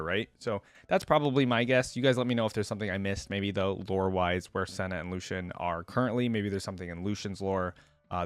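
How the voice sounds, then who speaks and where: A man's voice: fairly low pitch, dry recording. A young man giving casual, off-the-cuff reaction commentary as a video host, informal and rambling. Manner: informal, rambling, tags points with "right?".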